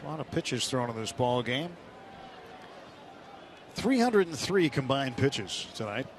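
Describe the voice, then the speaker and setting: A man's voice: medium pitch, big pitch swings, unhurried, slight background noise. A man calling a baseball game live on the radio as the play-by-play announcer. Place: stadium broadcast booth.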